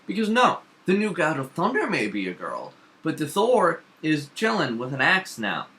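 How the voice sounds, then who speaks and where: A man chatting casually, animated and opinionated, nitpicking in quick bursts. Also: a little high. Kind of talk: casual chat.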